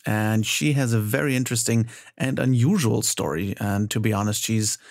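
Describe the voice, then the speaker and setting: A man's voice: fairly low pitch, steady tone, moderate pace, gravelly. A man hosting a podcast, welcoming listeners and chatting enthusiastically with a guest, with plenty of fillers and restarts. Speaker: podcast host. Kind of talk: podcast intro and interview.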